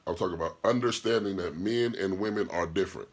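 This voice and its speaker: A man with a very low, deep voice and a husky, slightly rough tone holds forth on his podcast. He talks bluntly and profanely, hammering his points with repeated rhetorical questions and stopping to answer comments from his live chat. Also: fast. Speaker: podcast host and DJ. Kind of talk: opinionated podcast monologue.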